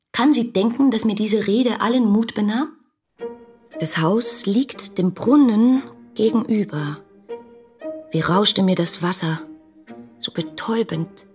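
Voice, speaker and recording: An actress playing an ardent young woman in love, narrating her letters in a breathy, dreamy voice in a German radio play. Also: mid-range voice. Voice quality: breathy, often near whispering.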